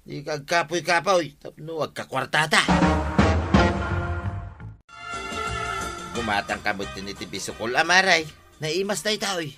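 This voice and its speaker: A man's voice: fairly high pitch, big pitch swings, normal speed, loud music behind the voice. A man acting a scheming, cheerful village vendor with exclamatory, wheedling delivery and an Ilocano accent in a comedy radio drama. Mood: evasive. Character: scheming, cheerful village man hawking dubious snails and greens.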